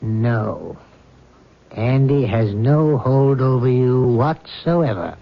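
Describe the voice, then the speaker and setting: Calm, reassuring doctor, muffled old radio. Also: male voice, mid-range voice, creaky. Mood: firm, reassuring. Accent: American. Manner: measured, firm, reassuring. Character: calm reassuring male doctor treating a haunted young woman. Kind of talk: 1970s American radio drama acting. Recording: dull lo-fi 1970s radio recording.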